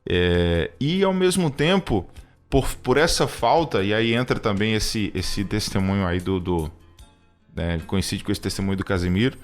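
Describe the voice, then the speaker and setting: Man, thirties, Brazilian accent, reflective testimony, halting phrasing, very varied intonation, soft music behind. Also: lowish voice, lively intonation. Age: 30s. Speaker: radio show co-host. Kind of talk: personal testimony in conversational talk.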